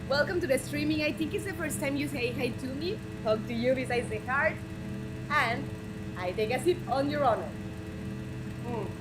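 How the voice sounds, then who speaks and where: A woman's voice: high voice, normal speed, noisy background. A woman who is a native Spanish speaker, hosting a game live stream and chatting encouragingly with her viewers. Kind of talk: live stream chat, reading comments aloud.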